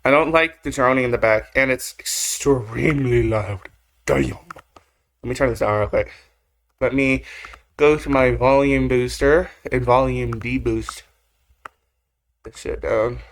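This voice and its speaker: A man's voice: medium pitch, fairly even intonation, a little slow, long pauses. A man giving casual, rambling reaction commentary as a video host, with slangy phrasing and mild swearing. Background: music or drone from the video being watched.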